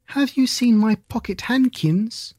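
A woman's voice: a little high, unhurried, warm tone, no room sound, voice pushed up. A polite, curious little girl from a storybook, as a woman reader puts her on. She asks one question after another in a lifted, girlish voice. The recording is dry and close. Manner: inquisitive, asking questions.

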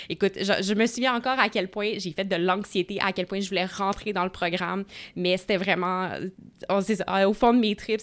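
A young woman with a Québécois French accent speaks as an interview guest. She talks eagerly and at mid pitch, with many fillers and restarts. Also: fairly bright tone. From Gatineau, Quebec, Canada. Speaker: guest, nutrition researcher. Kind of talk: casual interview talk.

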